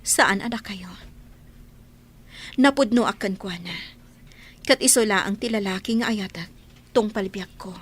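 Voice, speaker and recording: An actress playing a gentle but steadfast young student in love, in a radio drama. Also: female voice, medium pitch, measured pace, long pauses, slightly rough. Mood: apologetic, resolute. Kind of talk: Ilocano radio drama acting.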